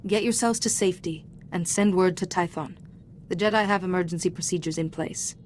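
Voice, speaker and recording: A woman playing a composed, diplomatic Jedi Master in a video game, speaking in a measured, formal, reassuring way. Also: fairly low pitch, steady tone, a little hiss or hum, natural voice. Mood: calm, composed. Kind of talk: cinematic video game voice acting.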